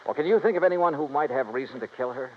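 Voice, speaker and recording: A man's voice: medium pitch, lively intonation, fast, natural voice. A male actor playing a wry, cool-headed insurance investigator with an American accent, casual and skeptical in a 1950s radio detective style. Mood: polite, probing. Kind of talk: 1950s radio detective acting and first-person narration. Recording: muffled, noisy vintage radio recording.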